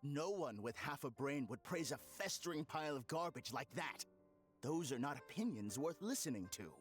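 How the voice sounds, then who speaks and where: A man's voice: fairly high pitch, lively intonation, moderate pace, faint music behind, lightly acted. An actor playing an abrasive, argumentative, self-important man in an anime game, speaking in a haughty, combative way. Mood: scornful.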